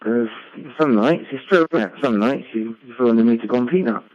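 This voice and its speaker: A man chatting casually as an amateur radio operator, rambling along with frequent tag questions over a radio link. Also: fairly quick. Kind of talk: casual ham radio chat. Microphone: radio link played through a computer. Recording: muffled low-fi digital radio link.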